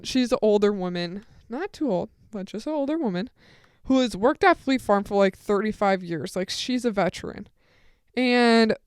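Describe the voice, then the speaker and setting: A teenage woman hosting her own podcast, telling a rambling story with lots of side comments. She describes her own voice as on the deep side. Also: smooth, no room sound, clean studio sound. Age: teens. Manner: rambling, chatty, full of asides. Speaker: podcast host. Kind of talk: casual solo storytelling.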